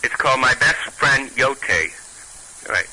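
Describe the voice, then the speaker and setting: A man with a Brooklyn accent, a storyteller and stand-up comic, chatting haltingly with many restarts and reading a comic tale aloud. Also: mid-range voice, expressive, pushed and bright, husky, rough recording.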